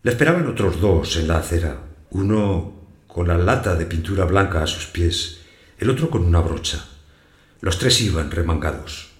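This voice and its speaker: Actor as a deep-voiced, echoey householder narrator. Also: male voice, lively intonation, moderate pace. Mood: wary. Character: wary, brooding male householder narrating his own story. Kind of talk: scripted first-person audio drama narration. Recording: echoey room sound, music behind.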